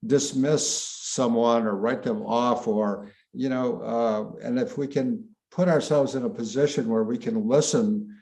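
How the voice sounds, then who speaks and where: A man, a filmmaker, explaining his film in a panel discussion, measured and searching for words. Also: medium pitch, steady tone, a little mellow, poor audio.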